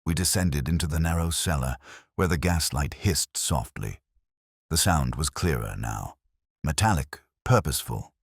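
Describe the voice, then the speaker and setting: A man narrating a detective story in a dramatic, measured and suspenseful way. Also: rumbling low, expressive, husky, no echo, studio quality. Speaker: audiobook narrator.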